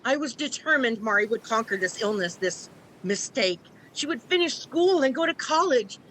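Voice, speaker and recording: Actress as an anxious stubborn mother, highish pitch, sharp bright tone, radio drama. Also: expressive, normal speed, slight background noise, poor audio. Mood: determined, sad. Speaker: actor in a college radio play. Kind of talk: scripted radio drama acting.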